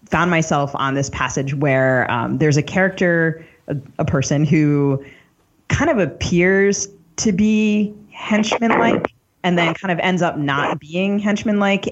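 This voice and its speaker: A woman co-hosting a podcast, speaking conversationally as she interviews a guest about books. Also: low-pitched. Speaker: podcast co-host. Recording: slightly dull, lo-fi audio.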